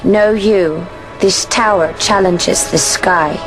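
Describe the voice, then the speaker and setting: An actress voicing an aloof female sky pirate of a tall rabbit-eared race in a video game cutscene, speaking in a measured, solemn way. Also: on the low side, low-quality recording. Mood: reciting. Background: game score music.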